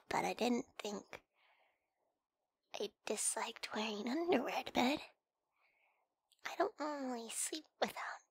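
A woman playing a flustered, hesitant young woman, stammering softly in an ASMR roleplay. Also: on the high side, dry recording, exaggerated voice. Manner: hesitant, stammering, soft-spoken with breathy whispered moments. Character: flustered young woman, hungover friend waking up in bed.

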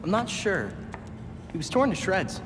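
A man's voice: sits high, sing-song, a little slow, noisy background. A man voicing a thoughtful, slightly hesitant young detective hero in a game cutscene. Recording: reverberant cutscene with music.

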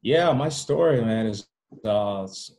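A man from Rwanda with a fairly low voice speaks as a guest in a casual interview. He tells his story haltingly, repeating words and dropping in "you know" as he goes. It is recorded over a video call. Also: expressive, a little mellow. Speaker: podcast guest. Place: indoor room at home, video call.

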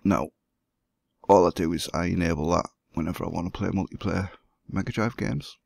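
A hoarse man who has the flu, walking through a computer setup step by step as the host of a gaming channel. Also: sits low, fairly even intonation, fairly quick, a little mellow, no room sound, studio quality. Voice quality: gravelly, husky. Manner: plain step-by-step explaining. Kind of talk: screen-recorded tutorial.

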